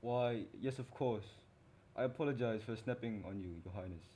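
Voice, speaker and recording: A man's voice: medium pitch, normal speed, dark tone, a little hiss or hum, natural voice. A man playing a courteous, formal royal attendant, deferential but strict, in an audio drama.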